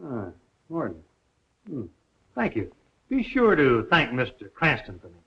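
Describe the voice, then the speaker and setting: Male supporting role, 1930s mystery: affable, sharp bright tone, lively intonation, dull old soundtrack. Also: medium pitch, unhurried, full character voice. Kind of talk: 1930s film comedy-mystery acting. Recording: muffled 1930s film soundtrack.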